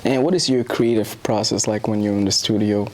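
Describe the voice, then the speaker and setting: A man's voice: fairly low pitch, steady tone, brisk, darkish tone. A man hosting a music interview, asking questions in an easygoing way with hip-hop slang. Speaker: interview host who also makes music.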